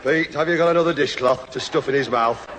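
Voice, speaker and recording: A man's voice: mid-range voice, a little fast, fairly bright tone, some chatter behind, close to an everyday voice. A man playing a matey, plain-spoken, grumbling neighbour in a radio sitcom. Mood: mocking. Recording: noisy old radio recording, audience laughter.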